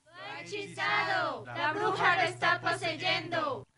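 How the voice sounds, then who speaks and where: A woman's voice: extremely high pitch, takes it slowly. A woman playing a frantic figure crying out amid a mob in a radio drama. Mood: alarmed accusation. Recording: loud crowd noise behind the voice.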